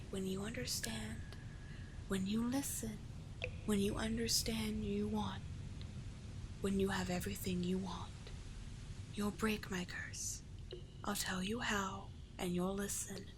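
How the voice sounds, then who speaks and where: A woman voicing a seductive, manipulative sea witch in a soft breathy whisper, coaxing and tenderly possessive, in an ASMR roleplay style. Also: mid-range voice, noisy background, close to an everyday voice. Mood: soothing, possessive.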